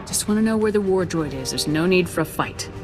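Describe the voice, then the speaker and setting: Game hero lieutenant, played by a woman: cool, steady military delivery, clear music underneath. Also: lowish voice, fairly quick, lightly acted. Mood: firm. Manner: calm, matter-of-fact. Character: cool, confident soldier lieutenant of the Republic. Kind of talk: video game voice acting. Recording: music behind the voice.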